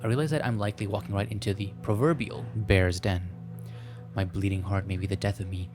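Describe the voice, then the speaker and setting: Low-voiced man reading over background music. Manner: self-deprecating, wry joking asides. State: scratchy throat, frequent throat clearing. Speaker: let's play host. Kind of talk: reading a visual novel aloud with casual commentary. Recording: music playing behind the voice.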